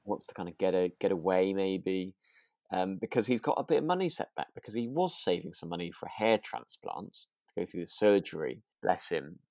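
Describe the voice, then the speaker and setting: A man with a fairly low voice talks in a relaxed podcast chat. He is hesitant, often doubling back to rephrase as he thinks aloud. The sound is dry, with very little room. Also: a little fast, creaky, dry recording. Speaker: podcast co-host. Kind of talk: relaxed chat reviewing a radio drama.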